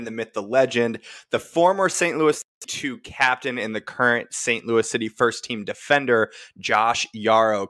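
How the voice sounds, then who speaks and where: Guy hosting a pod, lowish voice, hyping up the guest. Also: a bit bright. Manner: upbeat, hype introductions. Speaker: podcast host. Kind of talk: casual podcast hosting.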